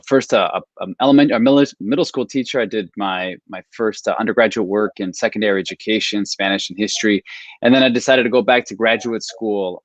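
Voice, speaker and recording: A man in his thirties from Milwaukee, a history professor speaking as a guest in an earnest, measured way with frequent fillers. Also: lowish voice, no room sound. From Milwaukee, Wisconsin, US. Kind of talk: guest on a video call talk.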